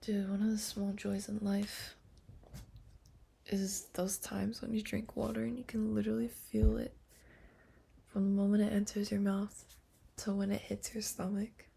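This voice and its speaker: A young woman streamer in a soft whisper, chatting casually at bedtime in relaxed slang. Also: mid-range voice, a little slow, creaky, pauses often, slightly rough.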